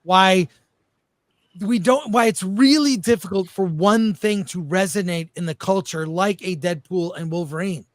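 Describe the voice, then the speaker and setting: High-voiced man hosting a panel talk. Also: unhurried, no room sound. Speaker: host of panel show. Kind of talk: presenting statistics in casual panel debate.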